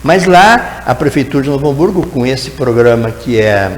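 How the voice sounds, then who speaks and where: A man's voice: mid-range voice, lively intonation, normal speed, reverberant, in a large hall, slight background noise. A man, a guest educator answering in a TV interview, explaining at length and tagging his sentences with "né?". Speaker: guest educator in teacher training.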